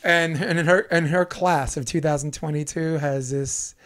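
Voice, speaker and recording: A young adult man hosting a casual podcast, joking and rambling with quick asides. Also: fairly high pitch, fairly even intonation, heavy background noise. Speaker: podcast host.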